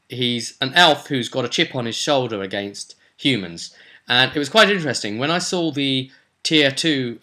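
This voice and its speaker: A man's voice: medium pitch, pushed and bright. A man talking casually as a game master recapping a role-play session, conversational, often putting questions to the listener. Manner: conversational, rhetorical questions and tag questions.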